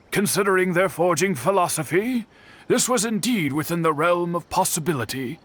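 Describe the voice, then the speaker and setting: An actor voicing a gentle older male smithy elder in a video game dub, talking slowly and thoughtfully. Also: sits high, slightly rough, no echo, heavily acted. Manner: slow, thoughtful, expressive.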